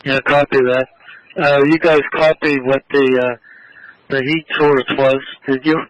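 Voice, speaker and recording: A man's voice: mid-range voice, level tone, unhurried, dry recording, lo-fi sound. A man talking as an emergency operator in dispatch chatter over a two-way radio.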